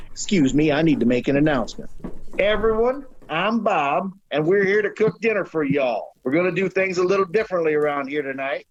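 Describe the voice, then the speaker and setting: A man's voice: high voice, highs cut off, slight background noise, close to an everyday voice. A man playing a blustering, plain-spoken American restaurant owner with a folksy, bossy manner in an audio drama. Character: gruff, folksy male steakhouse owner, bossy but big-hearted.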